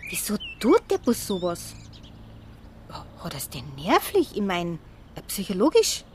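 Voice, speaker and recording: A woman's voice: medium pitch, wildly swooping pitch, unhurried, a little hiss or hum. An actress playing a chatty, credulous newspaper kiosk woman in a radio drama, speaking colloquial Bavarian. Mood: incredulous gossip. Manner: colloquial, gossipy. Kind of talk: naturalistic radio drama dialect dialogue. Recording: music behind the voice.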